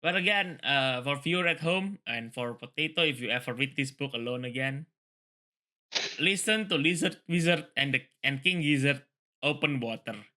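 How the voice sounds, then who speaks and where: Male, very bright forceful voice, read-along host, casual, repetitive. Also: mid-range voice, expressive, moderate pace. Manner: rambling, repeats phrases. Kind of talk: book reading mixed with casual commentary.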